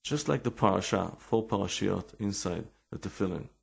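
A man with an Israeli accent giving an informal religious talk with personal stories, halting and repeating words as he searches for English. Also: low-pitched, creaky, slightly rough.

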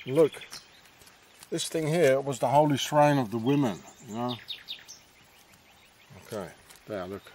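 A man's voice: mid-range voice, expressive, measured pace, pauses often, darkish tone, a little hiss or hum. A middle-aged man rambling and ranting in a meandering monologue as he walks a countryside path, often tagging on questions. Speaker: vlogger. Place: outdoors in the countryside, walking a path.

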